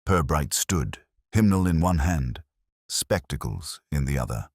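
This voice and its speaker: A man narrating a scripted mystery story in a measured, atmospheric way. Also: extremely low pitch, expressive, darkish tone, dry recording, clean studio sound.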